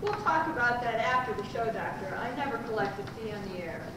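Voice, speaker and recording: A woman's voice: on the high side, lively intonation, a little fast, background noise, natural voice. A woman playing a perky character and announcer in an amateur old-time radio comedy serial. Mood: upbeat, theatrical. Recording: very echoey, distant, loud music behind.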